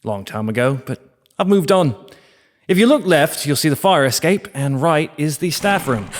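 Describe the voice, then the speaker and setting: An actor playing a calm, quietly sinister caretaker giving a tour. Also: male voice, a little high, normal speed, big-room sound, lightly acted. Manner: calm, matter-of-fact.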